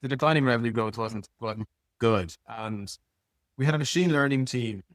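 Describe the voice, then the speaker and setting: A man's voice: mid-range voice, moderate pace, dry recording, studio quality. A man speaking as an interview guest in a casual, plain-spoken way, like an executive talking informally about work. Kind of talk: casual conversational interview answers.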